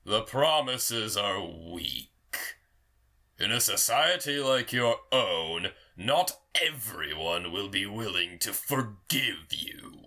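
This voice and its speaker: A man's voice: on the low side, animated, takes it slowly, pushed and bright, heavily acted. A man playing a vengeful tyrant lord and former soldier, taunting and mocking in formal, theatrical audio drama speech. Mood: cold, vengeful. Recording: roomy echo, music underneath. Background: music.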